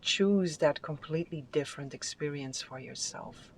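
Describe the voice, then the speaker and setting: A tarot card reader giving a spiritual reading with affirmations, in a soft, soothing and unhurried voice that sometimes drops to a whisper. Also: medium pitch, slight background noise. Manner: soft, soothing, unhurried delivery with drawn-out phrases.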